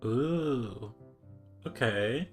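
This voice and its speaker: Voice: mid-range voice, animated, extremely slow, soft background music. A game streamer giving casual, brief livestream reactions while playing.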